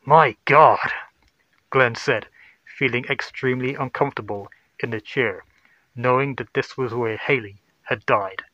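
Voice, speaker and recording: A man's voice: mid-range voice, moderate pace, sharp tone, no echo, dull audio. A man narrating a scripted sci-fi horror story.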